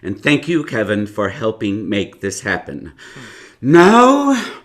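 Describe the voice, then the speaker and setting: A man's voice: fairly low pitch, huge pitch swings, takes it slowly, a bit bright. An older man, a theatre artistic director, making a courteous, articulate announcement with a stagey manner.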